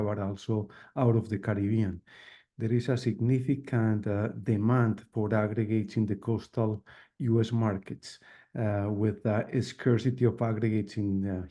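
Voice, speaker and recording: A man's voice: fairly low pitch, dark tone. A man speaking as a company executive, answering questions on a business call, with muffled call audio.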